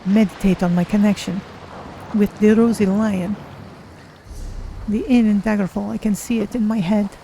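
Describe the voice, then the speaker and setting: A curious, cautious cat-woman adventurer from a fantasy game, voiced by a woman roleplaying. She speaks in a measured, formal manner with a soft, mellow tone and a creaky edge. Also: medium pitch, fairly even intonation, slight background noise, natural voice. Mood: thoughtful. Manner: measured, formal, speaks of self in third person. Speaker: streamer roleplaying a character. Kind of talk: in-character game roleplay narration.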